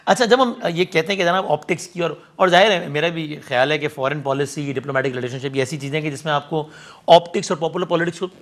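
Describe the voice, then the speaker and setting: A man's voice: a little high, fast talker, hardly any pauses, a bit bright. A man with a Pakistani accent hosting a political interview, probing and interjecting in a conversational way with English mixed in. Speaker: TV news interviewer.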